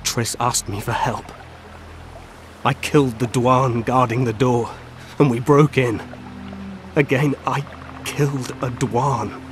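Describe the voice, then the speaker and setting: An actor playing a mortally wounded elf seer in a video game, haunted and mournful, speaking weakly and haltingly. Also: male voice, mid-range voice, steady tone, soft background music, close to an everyday voice. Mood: pained. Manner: slow, halting, labored. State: weak from fatal wounds, as acted.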